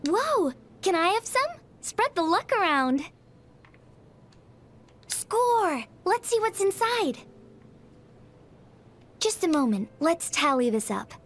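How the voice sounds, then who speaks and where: A woman voicing a bright, generous girlish adventurer in a video game. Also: extremely high pitch, big pitch swings, takes it slowly, pauses often, soft background music, exaggerated voice. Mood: excited, cheerful.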